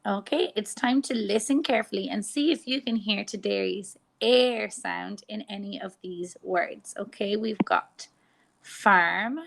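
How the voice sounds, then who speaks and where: An Irish woman teacher giving a phonics lesson to young children, sounding out letters slowly and clearly. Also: medium pitch, poor audio. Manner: slow, exaggerated sounding out of letters.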